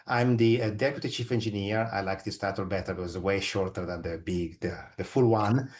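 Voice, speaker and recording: A man's voice: on the low side, a little fast, a little mellow. A middle-aged man from Italy with an Italian accent, explaining things informally as an interview guest. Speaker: interview guest, physicist and astronomer.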